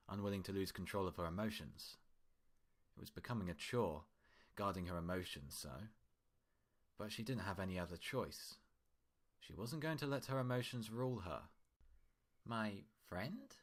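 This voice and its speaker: Male story narrator, fairly low register, reading scripted fiction. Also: normal speed.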